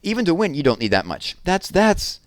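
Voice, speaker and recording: An indignant young girl, played by a man, pitched well up and emphatic. She is an earnest little sister from a storybook who insists on her point. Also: sing-song, brisk, no echo, studio quality. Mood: incredulous.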